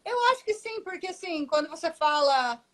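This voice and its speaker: A woman's voice: high voice, expressive, brisk, bright, forceful tone. Woman explaining voice technique. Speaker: guest voice teacher. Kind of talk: live online interview.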